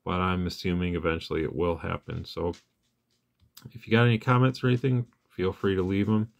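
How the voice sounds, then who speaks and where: A man's voice: low-pitched, fairly even intonation, a little fast, gravelly. A man hosting a casual hands-on radio gear demo, talking in a plainspoken, informal way. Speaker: radio review host. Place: indoors at an equipment bench.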